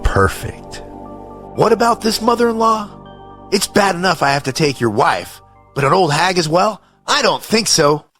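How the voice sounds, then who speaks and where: An actor playing a contemptuous, bullying landowner in an audio drama, blunt and demanding. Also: male voice, high-pitched, lively intonation, unhurried, fairly bright tone, soft background music.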